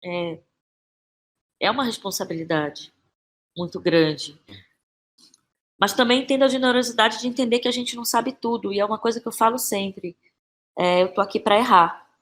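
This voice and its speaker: A Brazilian woman in her thirties speaking as a guest in a conversational podcast interview, thinking aloud haltingly and repeating phrases. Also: medium pitch, fairly bright tone. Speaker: interview guest, playwright and actress.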